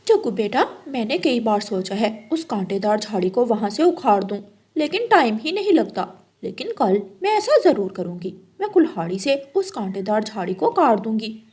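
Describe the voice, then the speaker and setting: A spiteful cartoon mother crow, acted by a woman, with a high voice. She is stubborn and haughty, scolding anyone in her way. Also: very animated, moderate pace, hardly any pauses, a little mellow, breathy edge. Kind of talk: Hindi moral cartoon character acting.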